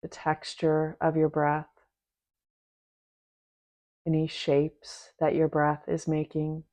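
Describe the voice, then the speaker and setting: A woman teaching a guided meditation in a gentle, unhurried manner, leaving long pauses between short phrases. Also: sits low, monotone. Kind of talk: guided meditation, soft instruction.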